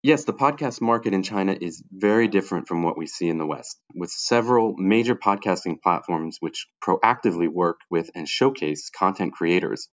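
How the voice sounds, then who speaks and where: A man's voice: sits low, expressive, normal speed, hardly any pauses. A man co-hosting a podcast, reading prepared figures and questions. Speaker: podcast co-host, technology lawyer.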